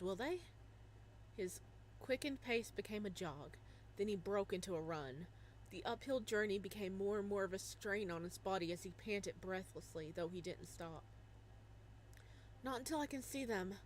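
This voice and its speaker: A young woman reading a visual novel aloud, breaking off into laughing, excited reactions between passages. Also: medium pitch, normal speed, slight background noise, rough recording. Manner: animated, laughing exclamations between narration.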